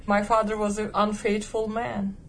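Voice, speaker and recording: A woman speaks as a guest in a radio interview. She tells her personal story in an earnest, measured way. Faint background noise sits under her voice. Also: medium pitch, level tone. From Turkey.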